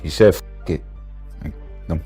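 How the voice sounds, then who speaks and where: A man's voice: normal speed, mellow. A man gives a casual, off-the-cuff answer as an interview guest. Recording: music laid under interview clip.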